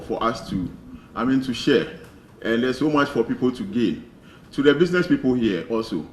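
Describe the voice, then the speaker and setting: A man making halting, earnest remarks from the floor at a launch event, with restarts. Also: medium pitch, slight background noise. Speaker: audience speaker at a launch event. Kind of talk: spontaneous public remarks. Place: event hall. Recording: echoey hall from far off.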